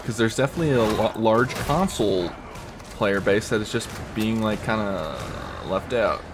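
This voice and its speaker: A young man with a scratchy throat rambling casually while he plays a video game. He talks with lots of fillers and casual swearing, in a deep, fairly monotone voice. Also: moderate pace. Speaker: gamer commenting while playing. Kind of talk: casual gameplay commentary.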